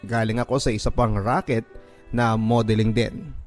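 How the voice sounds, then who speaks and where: A man's voice: lowish voice, flat delivery, moderate pace. A man narrating a first-person letter as a dramatic radio storyteller. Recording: music bed behind voice. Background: background music.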